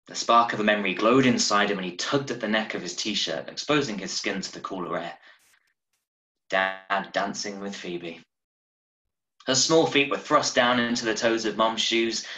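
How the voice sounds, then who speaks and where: A man in his thirties reading a short story aloud in a measured, dramatic way, recorded at home over a video call. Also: fairly low pitch, bright, forceful tone. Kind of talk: expressive audiobook-style story narration. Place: small room at home, indoors. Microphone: video call from a desk, wearing earphones. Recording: roomy, echoing home webcam recording.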